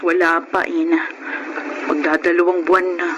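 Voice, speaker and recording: An actress playing a meek, worried wife in a radio drama, hesitant and placating in manner. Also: female voice, on the low side, level tone, normal speed, muffled recording, background noise, natural voice. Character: meek, worried, conciliatory wife of jobless husband.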